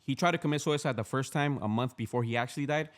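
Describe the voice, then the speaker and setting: Fast-talking man in his thirties. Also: mid-range voice. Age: 30s. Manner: candid, rambling, with many restarts and slang. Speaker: podcast guest, street activist. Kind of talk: casual podcast chat.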